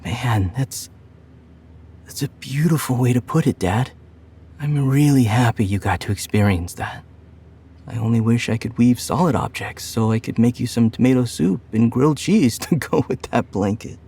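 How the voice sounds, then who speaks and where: A husky, soft-spoken young male animal played by a man at a middle pitch. He is a sweet grown son in a motion comic, earnest and gently hesitant when he talks. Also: moderate pace. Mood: warm, playful.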